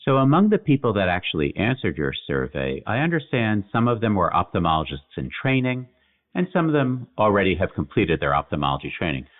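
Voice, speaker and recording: A man hosting a podcast, asking a guest about her study in a polite, inquisitive way. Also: low voice. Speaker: podcast host, physician. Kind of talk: podcast interview questions. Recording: tinny phone-like line.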